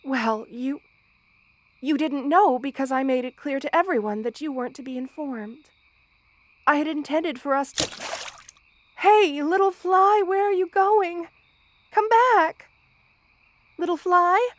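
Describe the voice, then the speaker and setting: A woman's voice: sits high, a little slow, long pauses, no room sound. A woman playing a kind, protective spider queen with a tender, formal manner in an ASMR roleplay. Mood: alarmed, pleading. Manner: tender, doting, softly commanding, formal wording. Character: motherly female spider queen, kind, protective, regal monster. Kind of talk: ASMR roleplay audio drama.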